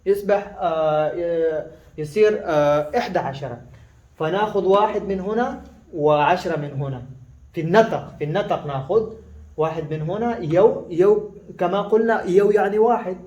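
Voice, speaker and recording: A man with a high voice teaches a casual lesson, stopping often with fillers and restarts and going back over each word slowly. His first language is Pashto. The room around him is echoey. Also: a little mellow, highs cut off. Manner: halting, with many fillers and restarts, repeating words slowly.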